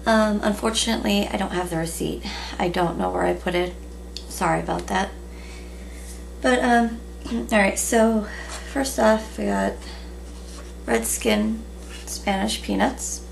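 A woman in her thirties chatting casually in a relaxed way with many ums, recorded at home on a camera at a table. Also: mid-range voice, a little slow, creaky. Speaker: vlogger. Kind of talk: casual haul video chat. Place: small room at home, indoors. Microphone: camera in front at table. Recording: noisy home webcam recording.

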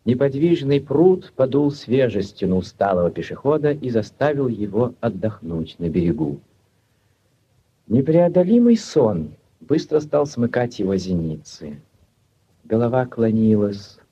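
A man narrating a literary tale for 1940s radio in a measured, expressive, theatrical manner. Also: mid-range voice, breathy edge, heavily acted. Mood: lyrical, dreamy. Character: solemn, lyrical storyteller narrator. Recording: dull 1940s radio recording, loud music behind.

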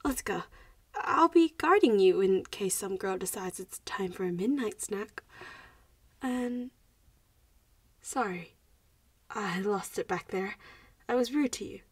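Girl roleplay by a woman, nervous popular vampire schoolgirl looking out for you, hesitant, mid pitch, dry sound bit off the mic. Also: lively intonation, slow, pauses often, breathy edge, dry recording, recorded from a distance. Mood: reassuring, apologetic. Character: anxious, protective popular vampire schoolgirl, student representative. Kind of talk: audio roleplay addressed to the listener.

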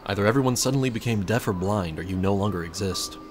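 A man narrates a scripted story in a low voice. He addresses the listener as the main character, reading over faint music. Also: fast talker. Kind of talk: scripted second-person fan fiction narration.

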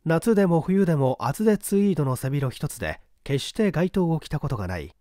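A man's voice: a little high, big pitch swings, a little fast, warm tone, no echo, clean studio sound. A man reading mystery fiction as scripted, theatrical audiobook narration. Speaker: audiobook reader, stage actor.

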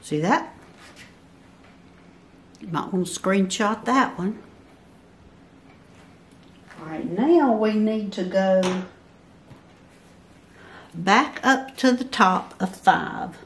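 A woman's voice: medium pitch, lively intonation, drawn-out words, pauses often, slight background noise. A woman giving a step-by-step barn quilt pattern tutorial at a table, patiently counting blocks aloud. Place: at a table over a paper pattern, indoors.

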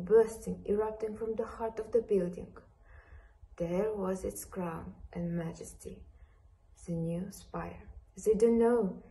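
A woman's voice: medium pitch, mellow, rough recording. A young woman reading literary prose aloud in a room. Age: young adult. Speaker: student reader. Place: indoor room beside a large screen.

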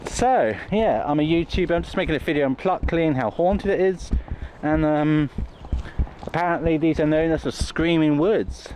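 A man's voice: a little high, normal speed, darkish tone. A man chatting casually as a vlog host in a hesitant, self-interrupting way, recorded on a handheld camera outdoors. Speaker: YouTuber host. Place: outdoors in muddy woods. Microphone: handheld camera while walking. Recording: noisy outdoor camera audio.

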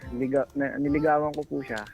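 Hesitant Filipino man over loud guitar music. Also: mid-range voice, flat delivery, creaky, highs cut off. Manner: hesitant, polite, halting. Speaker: caller sharing his relationship story. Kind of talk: casual phone-in storytelling. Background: loud plucked guitar music.

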